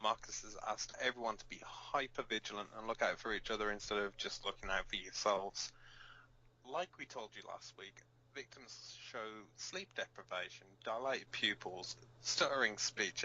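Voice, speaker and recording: A man's voice: lowish voice, normal speed, sharp tone, highs cut off. A man playing a blunt, cynical resistance fighter who co-hosts an underground broadcast in an audio drama. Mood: serious. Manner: blunt, sardonic. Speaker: radio show co-host.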